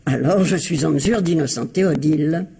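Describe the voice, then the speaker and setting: A woman playing an elderly, falsely sweet, gossipy and scheming mother-in-law in a radio mystery, in a husky, insinuating, theatrical manner. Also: low voice, fairly quick, warm tone, a little hiss or hum. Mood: falsely concerned. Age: older. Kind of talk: radio mystery drama acting.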